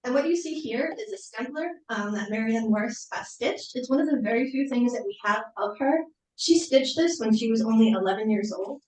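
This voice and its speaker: Soft-spoken woman, echoey and distant. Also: fairly high pitch, animated, normal speed. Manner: soft-spoken, with frequent fillers. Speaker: library director. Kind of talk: community presentation. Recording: echoey room, far from mic.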